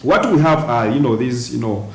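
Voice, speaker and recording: A man, a guest on a TV panel, explaining his points deliberately with many pauses and restarts. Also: medium pitch, animated. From western Uganda, near Congo and Rwanda borders. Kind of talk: studio panel discussion. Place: TV studio. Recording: roomy studio, faint hiss.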